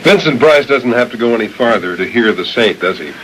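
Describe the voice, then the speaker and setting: A man interviewing on the radio in a measured, deliberate, conversational way, on an old tape. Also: mid-range voice, lively intonation, fairly bright tone, a little hiss or hum, rough recording.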